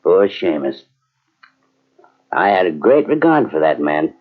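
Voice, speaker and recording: A genial frontier commander talks with easy, assured authority. The low voice rises and falls freely in an avuncular, old radio drama style. The recording is dull, like an aged cassette. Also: male voice, very animated, measured pace, full character voice. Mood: wistful, musing. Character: genial, confident frontier cavalry commanding officer.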